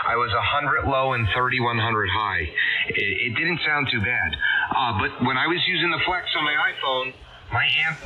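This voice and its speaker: A man's voice: medium pitch, bright, forceful tone. A man in casual technical conversation over amateur radio, with a reassuring, conversational manner, heard through a shortwave transmission. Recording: muffled, noisy ham radio signal.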